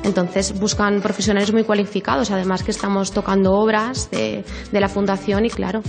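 Woman, medium pitch, restoration professional, explanatory interview, faint music. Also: little pitch movement, a little fast, vocal fry.

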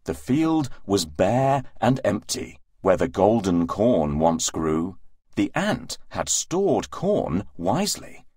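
A man's voice: fairly low pitch, sing-song, slow, a little mellow, slightly rough, no room sound. A man narrating a rhyming children's story as a scripted storybook reading.

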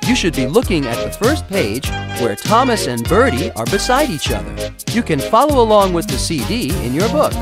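A woman's voice: fairly low pitch, sing-song, normal speed. A woman announcer giving a scripted introduction to a children's read-along. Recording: loud music behind the voice.

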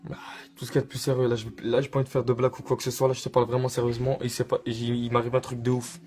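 A young man speaks quickly in a casual, slangy style with a theatrical streak. His voice sits at an average pitch, and he is recorded on a phone held at arm's length indoors. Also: monotone, darkish tone. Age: young adult. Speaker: online prankster. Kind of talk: casual prank call vlog. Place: small indoor room.

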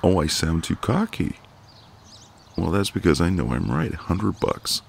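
A man playing a flirty, cocky but gentle ex-marine neighbour in an intimate ASMR roleplay, teasing in a folksy way. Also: low-pitched, big pitch swings, unhurried, gravelly, slight background noise, exaggerated voice.

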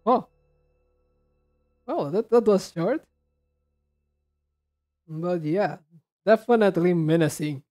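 A man chatting casually and haltingly as he hosts a reaction video, rambling in a low-key way. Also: animated, warm tone, faint music behind. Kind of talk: casual chat, reacting to game trailers.

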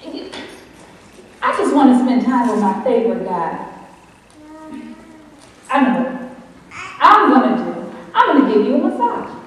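An affectionate but exasperated young preacher's wife, acted by a woman in a stage play. Her voice is fairly high and swings widely in pitch, heard from far off in a big echoing hall. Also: very animated, unhurried, long pauses. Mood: coaxing, affectionate. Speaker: stage actor. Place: stage in a large auditorium. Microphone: distant camera. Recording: echoey theater hall from far off.

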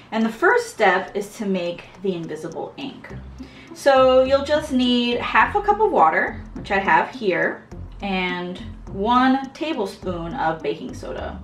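A woman's voice: a little high, very animated, unhurried, slight background noise. An American woman in her thirties giving a scripted educational presentation as host, recorded indoors at home. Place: small furnished room at home, indoors.

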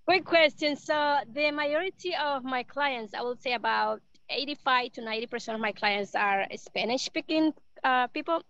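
A woman's voice: high-pitched, normal speed, fairly bright tone, slight background noise. A woman asking a question on a video call from outdoors, casual and giggly, holding a phone at arm's length. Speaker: real estate agent. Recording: dry lo-fi phone audio.

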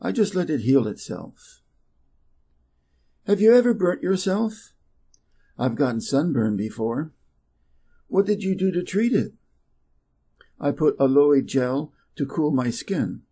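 Midwest guy teaching english, reads both sides of the dialogues slow, kinda husky. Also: mellow. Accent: American Midwest. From Wisconsin, USA. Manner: reads both dialogue parts, raising his pitch for speaker A. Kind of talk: slow reading of practice dialogues.

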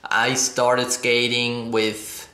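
Upbeat young man, bright echoey voice. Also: medium pitch, completely flat delivery, very slow, a little hiss or hum. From Switzerland. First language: German. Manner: upbeat, chatty, reads questions aloud. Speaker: skateboarding vlogger. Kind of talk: casual Q&A vlog. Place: living room, on a sofa, indoors. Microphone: fixed camera across the room. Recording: echoey room.

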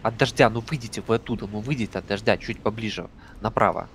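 A man with a lowish voice barks quick raid orders into voice chat, swearing now and then. His calls are brisk and commanding. A faint noise sits under the line. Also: a bit bright. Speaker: raid leader. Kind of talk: calling raid instructions over voice chat.

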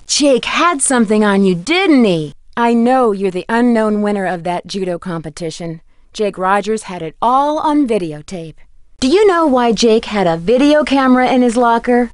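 A woman's voice: a little high, animated, normal speed, dry recording, clean studio sound, close to an everyday voice. An actress playing an earnest, inquisitive teenage girl detective in a video game, with a direct manner. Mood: probing. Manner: direct, questioning. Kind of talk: scripted game dialogue.

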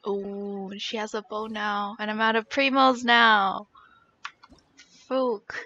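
A woman's voice: mid-range voice, little pitch movement, slow pace, sharp tone, soft background music. A young woman live-streaming a game in casual, reactive chat, swearing casually, on slightly dull lo-fi audio.

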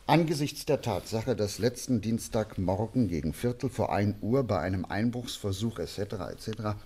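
Husky, animated older country gentleman. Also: fairly low pitch, normal speed. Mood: genial. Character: genial older country gentleman, confident and condescending. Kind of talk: German radio play acting.

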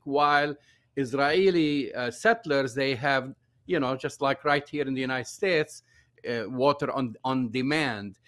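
A man's voice: medium pitch, fairly bright tone. An older man from Palestine, a guest journalist, explaining politics emphatically over a video call from his home office. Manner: emphatic explaining, many fillers. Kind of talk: political commentary. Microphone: video call with a desk microphone.

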